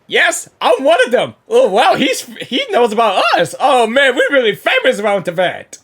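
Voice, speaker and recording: A man giving casual, excitable reaction commentary on a game, often repeating himself. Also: very high voice, moderate pace, slightly rough. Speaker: gaming reaction host.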